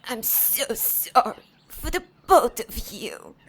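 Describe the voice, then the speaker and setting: Slow, high-voiced sinister old lady. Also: sing-song, fairly bright tone, full character voice. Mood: sweetly polite. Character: sweet-sounding, sinister, menacing old lady. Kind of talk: audio drama acting.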